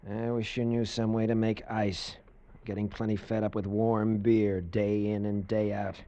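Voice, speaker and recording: A man's voice: lowish voice, little pitch movement, moderate pace, dark tone, highs cut off, close to an everyday voice. Jaded, grumbling plantation foreman played by a man. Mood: sour, fed up. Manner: blunt, sour, slangy. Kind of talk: 1940s-50s radio drama acting.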